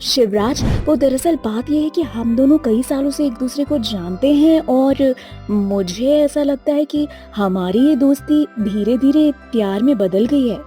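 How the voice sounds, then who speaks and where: A woman's voice: high-pitched, measured pace, hardly any pauses, mellow, faint music behind. A woman voicing a nervous, lovestruck young female serpent in a cartoon story, hesitant and earnest. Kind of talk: Hindi cartoon story acting.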